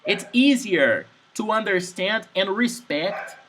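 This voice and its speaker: A man's voice: sits high, lively intonation, slow pace, a bit bright. Guy giving advice in english, restarts a lot, keeps asking if you get it, noisy background. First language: Portuguese. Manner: keeps checking "Understand?", frequent restarts. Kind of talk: casual advice-giving chat.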